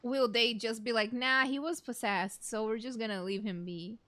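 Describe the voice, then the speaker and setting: A woman's voice: a little high, steady tone, moderate pace, bright, forceful tone. A young woman giving casual, playful reactions as she plays a game on stream. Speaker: gaming streamer. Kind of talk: casual let's play reaction commentary.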